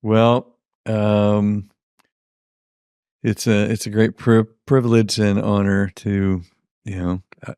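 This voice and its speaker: A middle-aged man hosting a casual interview, speaking in halting, thoughtful phrases that trail off. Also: fairly low pitch, little pitch movement, warm tone, smooth, dry recording, studio quality. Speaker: podcast host.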